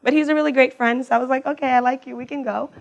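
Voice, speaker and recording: A young woman with a raspy voice, giving a personal talk on a mic to a live audience, chatty and quick. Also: high-pitched, fairly even intonation, dull audio. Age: young adult. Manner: talks fast, warm and chatty, laughs between thoughts. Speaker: alumna speaker. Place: event hall with audience. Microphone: handheld or stage mic.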